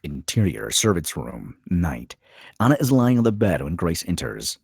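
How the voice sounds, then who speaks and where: Man, screenplay narrator, low pitch, lively intonation, slightly rough husky tone, scripted delivery. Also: moderate pace, no echo, clean studio sound. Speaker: stage directions narrator.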